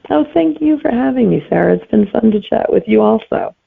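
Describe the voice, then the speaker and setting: A woman's voice: a little high, lively intonation, brisk, gravelly, husky. A middle-aged woman, a guest author chatting casually in an interview over a narrow phone-like connection. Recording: tinny narrow phone-like line.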